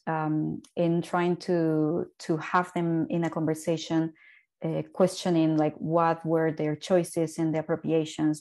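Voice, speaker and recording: Low-voiced woman, hesitant. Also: dark tone. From Bolivia. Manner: thoughtful, many fillers and restarts. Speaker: violinist, quartet founder. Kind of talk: video-call interview. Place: home living room. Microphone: wired earphone headset.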